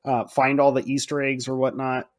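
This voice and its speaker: A man's voice: medium pitch, completely flat delivery, normal speed. A man co-hosting a podcast, chatting casually with strong opinions in colloquial, emphatic language. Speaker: podcast co-host.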